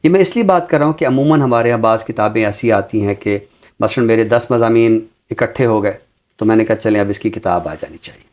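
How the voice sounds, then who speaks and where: A man's voice: on the low side, brisk, a little mellow. A man with a Pakistani accent speaks as a literary scholar on a talk show, explaining books in formal, learned language.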